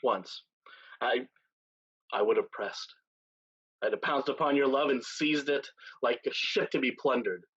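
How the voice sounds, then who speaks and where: A man acting a seasoned, weary pirate captain in a staged play reading, speaking in a measured, deliberate way with dramatic pauses. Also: mid-range voice, fairly bright tone, breathy edge, highs cut off. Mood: wistful. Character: seasoned male pirate captain, weary, solemn, tender, once brash.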